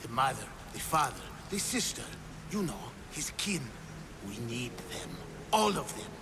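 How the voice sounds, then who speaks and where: Here is a smug, conspiratorial man of a secret cult in a game cutscene. His mid-pitched voice swings theatrically and slips toward menacing whispers, with loud ambience behind it. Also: sing-song, a little slow, noisy background. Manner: theatrical, slipping into breathy whispers. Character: sly, conspiratorial male cultist, menacing and smug. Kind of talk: video game cutscene acting.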